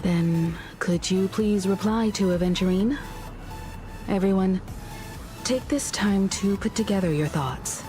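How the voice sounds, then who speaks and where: An actress playing a calm, analytical woman in a video game story, speaking in a measured, deliberate way. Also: mid-range voice, natural voice. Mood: measured, wary. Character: calm, analytical adult woman, cautious crew member. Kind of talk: scripted game story dialogue. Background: background music.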